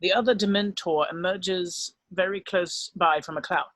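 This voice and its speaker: A man's voice: high-pitched, fairly even intonation, normal speed, a bit bright, no room sound. A man narrating scripted stage directions at an amateur drama table read.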